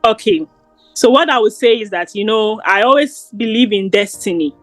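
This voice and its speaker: A woman's voice: medium pitch, normal speed, dry recording, faint music behind. A young Ghanaian woman with a Ghanaian accent, talking earnestly as an interview guest, often repeating words. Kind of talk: casual interview.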